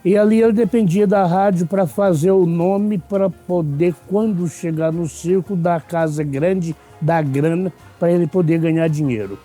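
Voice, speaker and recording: An older Brazilian man reminisces in interview style. His voice is high in pitch yet very soft and dark in tone, with faint music behind. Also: a little slow, hardly any pauses.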